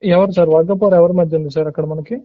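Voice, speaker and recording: A man with a slightly high voice asks survey questions at a quick clip over a lo-fi phone call. He is polite and deferential, and he drops English words into his questions. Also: steady tone. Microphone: telephone. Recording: muffled lo-fi leaked phone call.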